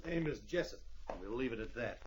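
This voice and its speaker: An actor playing a cool, guarded professional gambler in an old radio western, talking in a measured, evasive way. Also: male voice, mid-range voice, expressive, natural voice. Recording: old recording with faint noise.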